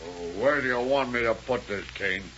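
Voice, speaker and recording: An actor playing a gruff, stubborn, slow-witted henchman who grumbles plainly, in a 1930s radio serial. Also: mid-range voice, a bit bright, natural voice. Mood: grumbling, uneasy. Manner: grumbling, plain-spoken, ungrammatical. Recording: muffled, noisy 1930s radio recording.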